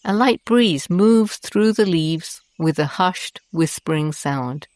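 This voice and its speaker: A woman host narrating a guided meditation in a gentle, soothing and deliberate manner. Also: lowish voice, animated, dry recording.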